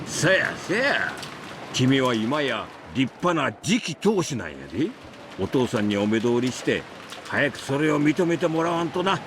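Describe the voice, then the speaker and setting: A jovial middle-aged anime uncle with a Kansai accent. He is pushy and very animated, with rain noise behind him. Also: medium pitch, fairly quick. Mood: jovially insistent. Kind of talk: anime visual novel acting.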